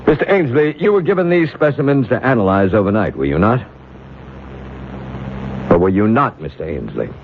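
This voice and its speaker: A man's voice: fairly low pitch, sing-song, normal speed, pauses often. A man playing a stern, formal committee chairman in a radio drama, authoritative and pressing. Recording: tinny, noisy vintage radio recording.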